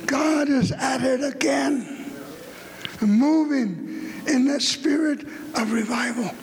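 A man with a very high voice preaches slowly and deliberately, hammering the same phrase again and again and climbing into shouts. His voice rings out with a long reverb in a big church hall. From United States. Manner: fervent, repetitive, rising to shouts. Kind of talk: shouted revival sermon.

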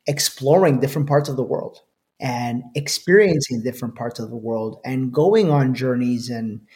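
A man's voice: medium pitch, normal speed, dark tone. A man hosting a podcast interview, asking long, earnest, rambling questions. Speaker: podcast host.

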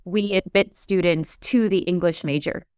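A woman professor in her forties, raised in the United States, speaking in an articulate, measured way with emphasis in an interview. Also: lowish voice, a little mellow, clear, resonant tone, no room sound, studio quality. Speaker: English professor. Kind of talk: reflective interview talk.

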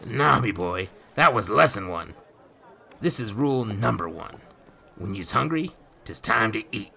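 A man doing a cocky gravelly rogue thief. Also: fairly low pitch, expressive, a little slow, bright, forceful tone, voice pushed up, exaggerated voice. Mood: swaggering. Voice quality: gravelly, swaggering, ungrammatical dialect. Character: roguish scheming male thief, cocky, adult.

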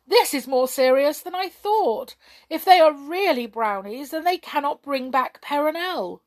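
A woman's voice: high-pitched, animated, normal speed, pitched above the performer's own voice. A woman voicing a blustering, commanding fairy king who scolds sternly, in a children's storybook reading. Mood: grave, upset. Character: hot-tempered, commanding, blustering fairy king, adult man.

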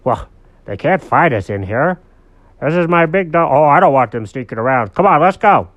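A man's voice: fairly high pitch, animated, moderate pace, a bit bright, no echo, lo-fi sound, voice pushed up. A man voicing a brash, chummy, bossy pet dog in a children's story, talking emphatically. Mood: confident.

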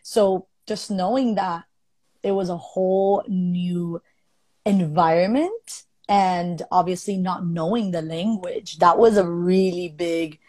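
A woman's voice: on the low side, slow, darkish tone, no echo. A young woman from Colombia, speaking earnestly and thoughtfully in casual conversation, with many fillers. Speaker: guest.

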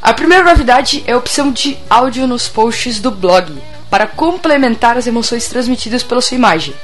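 A woman co-hosting a casual talk show, hoarse this week, chatting loosely and laughing often. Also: on the high side, fairly quick, faint music behind. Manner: laughs often, relaxed banter. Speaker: podcast co-host. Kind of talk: casual chat and sponsor read.

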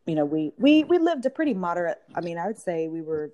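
A woman in her thirties who grew up in Georgia, telling her family story as an interview guest, pausing with ums and restarting her sentences. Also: on the low side, very animated, warm tone, muffled recording. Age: 30s. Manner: thoughtful, many ums and restarts. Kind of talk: casual interview, personal story. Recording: noisy recording.

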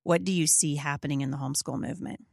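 A woman's voice: low voice, fast, vocal fry, a little mellow, breathy edge, dry recording, studio quality. A middle-aged American woman hosting a casual interview, informal and emphatic, often checking in with the listener. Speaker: podcast host, homeschool mom.

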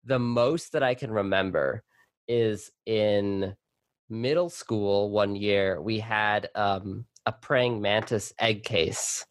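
A man's voice: fairly low pitch, slow, dry recording. A young man, born in Colombia and raised in New York City, talking casually as an interview guest with lots of fillers and restarts. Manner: chatty, with many fillers and restarts. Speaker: guest, arachnologist.

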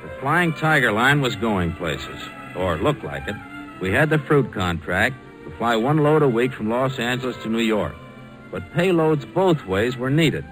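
A man with an American accent acting a determined young airline founder in a 1940s radio drama, with brisk, insistent, colloquial delivery. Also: mid-range voice, big pitch swings, over music, poor audio. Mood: reflective narration. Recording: vintage 1940s radio broadcast.

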